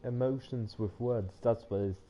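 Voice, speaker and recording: A man's voice: mid-range voice, fairly even intonation, moderate pace, muffled recording, crowd noise. A man giving casual live commentary as a game streamer. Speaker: game livestreamer.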